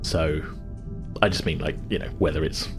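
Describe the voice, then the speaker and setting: A man with a low voice runs a tabletop roleplaying game as game master. He explains in a chatty, halting, improvised way, with clear music playing behind him. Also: steady tone. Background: background music.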